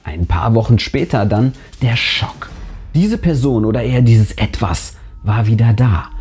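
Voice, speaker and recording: A man narrates a scripted horror tale with a dramatic storyteller's delivery. His voice is dark and relaxed, yet the pitch moves in a lively way. Faint music plays behind him as he leaves suspenseful pauses. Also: breathy edge. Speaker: narrator and channel host. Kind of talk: scripted narration of a first-person horror story, read aloud. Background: background music.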